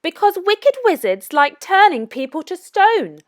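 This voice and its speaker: Woman playing a high-pitched, bold little princess. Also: big pitch swings, measured pace, no room sound, studio quality, exaggerated voice. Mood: bold retort. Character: seven-year-old princess, bold and matter-of-fact.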